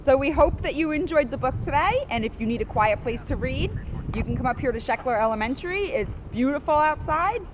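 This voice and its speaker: A woman with a high, very lively voice reads a children's story in an expressive, chatty manner. The recording is slightly muffled, made outdoors with clear background noise. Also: a little fast. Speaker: police officer reading a children's book aloud. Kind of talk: animated picture-book read-aloud with casual asides. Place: outdoors at an elementary school. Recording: muffled, noisy outdoor recording with vehicle sounds.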